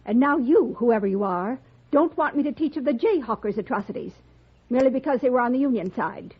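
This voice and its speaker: An actress playing a stern, principled frontier schoolteacher in an old-time radio western, formal, prim and firm. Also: female voice, on the high side, animated, a little fast, husky. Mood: indignant. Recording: muffled, noisy vintage radio recording.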